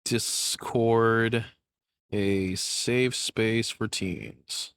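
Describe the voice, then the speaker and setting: A man's voice: lowish voice, fairly even intonation, drawn-out words, fairly bright tone, smooth, no room sound, clean studio sound. A young adult man doing casual reaction commentary as a content creator, rambling, sarcastic and freely swearing.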